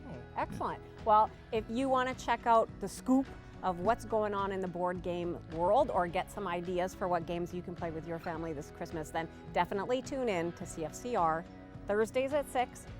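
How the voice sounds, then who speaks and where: A woman's voice: fairly low pitch, normal speed, music in the background. A woman hosting a casual interview in a conversational way. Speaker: interviewer, show host.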